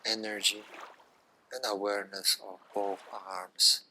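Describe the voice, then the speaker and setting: A man guiding a yoga relaxation meditation in a hushed, soothing manner, in short phrases with long pauses. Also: fairly low pitch, level tone, poor audio. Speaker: yoga nidra guide.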